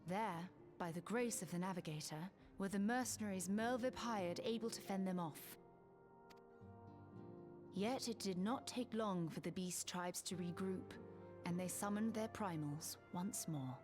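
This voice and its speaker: A woman's voice: mid-range voice, unhurried, natural voice. A woman voicing a serious, articulate adviser in a fantasy video game, with a dry and formal delivery over music. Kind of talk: scripted fantasy game dialogue.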